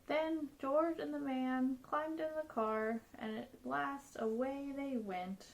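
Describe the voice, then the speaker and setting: A woman reading a children's picture book aloud as a storyteller, with an expressive, dramatic delivery. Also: high voice, slow pace, vocal fry, slight background noise, rough recording.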